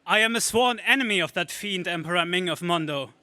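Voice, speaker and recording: A man's voice: high voice, fairly quick, bright, forceful tone, no echo. A man playing a brave young Earth hero, earnest and urgent, in a 1930s radio serial style. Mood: defiant.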